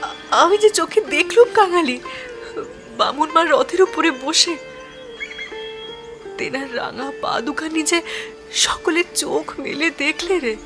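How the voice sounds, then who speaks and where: A woman playing a poor, gentle, devout village mother in an audio drama, speaking rustic speech tenderly and wearily. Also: high voice, slow, pauses often. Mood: awed, earnest. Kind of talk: audio drama acting of a Bengali story. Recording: music bed behind the voice.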